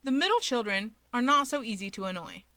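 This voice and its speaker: Bright-voiced woman reading a story. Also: on the high side, lively intonation, brisk, dry recording. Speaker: story reader. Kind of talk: scripted fiction narration.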